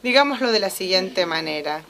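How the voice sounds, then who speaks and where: A woman interpreting a lecture live, fluent and steady with occasional self-corrections. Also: medium pitch, moderate pace. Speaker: simultaneous interpreter.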